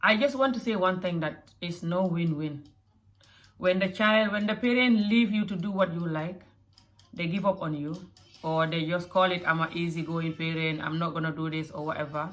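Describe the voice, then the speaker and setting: A mother with a mixed African and Scottish accent, speaking in an earnest motivational talk to camera and often repeating phrases. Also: fairly low pitch. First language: not English. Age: adult mother. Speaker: vlogger.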